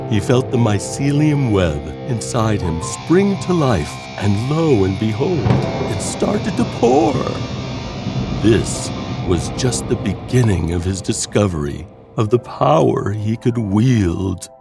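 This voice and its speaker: A man narrates an eerie audio drama in a fairly low voice that swings widely in pitch. He takes his time, theatrical and full of pauses, with music playing behind him. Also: sing-song, gravelly. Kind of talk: scripted horror audio drama narration, storytelling.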